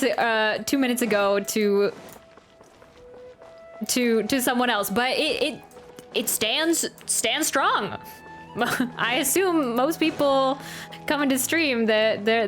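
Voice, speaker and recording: A woman's voice: a little high, slow, fairly bright tone, faint music behind. A young adult woman chatting casually on a game livestream in a friendly, giggly, slightly apologetic way.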